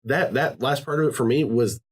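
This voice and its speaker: A man's voice: mid-range voice, fairly quick, slightly rough. A man hosting a podcast, talking casually about games and rambling with many fillers and restarts. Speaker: podcast host. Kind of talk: casual chat.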